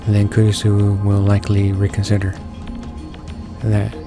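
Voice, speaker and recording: Low, flat-voiced man reading along. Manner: halting, stumbling over words. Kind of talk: reading visual novel text aloud. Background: game music.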